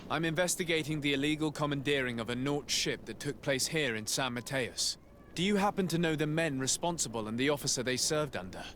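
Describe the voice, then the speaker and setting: A man playing a composed, courteous noble envoy in a video game, speaking formally, politely and in a measured way. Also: fairly high pitch, expressive, a bit bright, slight background noise. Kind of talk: video game cutscene acting.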